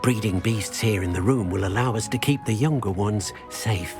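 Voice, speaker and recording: A man's voice: fairly low pitch, moderate pace, music in the background, natural voice. An actor voicing an elderly, courteous male house-elf devoted to creatures in a video game, speaking earnestly and a little hesitantly. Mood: earnest, helpful. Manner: refers to himself in third person, hesitant. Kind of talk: video game character dialogue.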